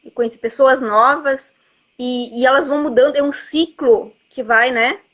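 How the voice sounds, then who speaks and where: A woman's voice: high-pitched, fairly even intonation, normal speed, a bit bright. A woman, chatting casually about a book with its author and filling her speech with tag questions and little asides. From southern Brazil border region. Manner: rambling, lots of "né" and "assim, ó". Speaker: bookseller interviewing an author.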